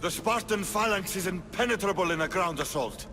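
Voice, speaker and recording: An actor playing a brash, hot-blooded Spartan general in a video game, speaking in a blunt, martial way. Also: male voice, high voice, a little fast, music in the background, natural voice. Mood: impatient, defiant. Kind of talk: dramatic video game cutscene acting.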